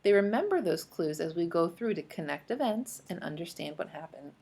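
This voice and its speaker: A woman's voice: lowish voice, animated, a little fast, creaky, darkish tone, husky. A woman teacher leading a children's read-aloud lesson, explaining in an encouraging way and asking guiding questions. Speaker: speech-language teacher.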